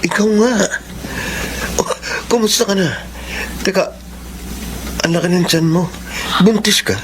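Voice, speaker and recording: A man's voice: high voice, a little slow. An actor playing an earnest, opportunistic young man, pleading his case in a radio drama. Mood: surprised. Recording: noisy ambient background behind voice.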